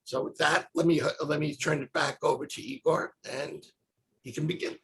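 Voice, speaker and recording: A man's voice: fairly high pitch, fairly even intonation, moderate pace, a bit bright, slight background noise. A man giving opening remarks as an organizer, speaking hesitantly with fillers. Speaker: organizer introducing the panel.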